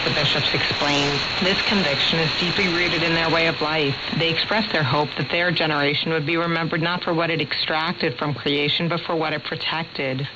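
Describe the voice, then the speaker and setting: A woman delivering a scripted radio news report as a reporter. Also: sits low. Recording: muffled shortwave radio with clear static.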